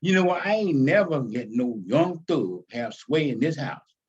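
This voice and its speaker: An elderly, devout grandmother from a storybook, acted out by a man. She is folksy, feisty and fond of teasing. The voice swings up and down with a husky edge at a slow, deliberate pace. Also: medium pitch, very animated, a little mellow, highs cut off. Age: older.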